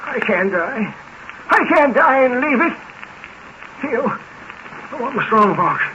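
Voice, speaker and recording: Very high-voiced, cantankerous old farmer. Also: male voice, sing-song, slow, exaggerated voice. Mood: desperate, possessive. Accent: rural American. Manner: querulous, agitated, demanding. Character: sick, miserly, cantankerous old farmer father. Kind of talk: 1940s radio drama acting. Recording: muffled, hissy 1940s radio recording.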